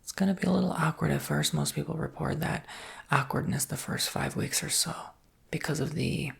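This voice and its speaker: An American man whispering an ASMR roleplay as a salesperson, softly and reassuringly explaining a product without hurry, in a breathy, husky whisper. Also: fairly high pitch, steady tone. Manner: soft, unhurried, reassuring explaining.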